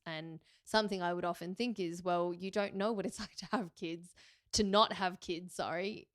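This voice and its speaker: A woman speaks candidly into a close mic, thinking aloud and correcting herself as she goes. Her voice sits a little low and sounds smooth and resonant. The sound is dry, with no room around it. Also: dry recording. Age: 30s. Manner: candid, thoughtful, with fillers and self-corrections. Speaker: podcast host. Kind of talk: reflective solo podcast talk.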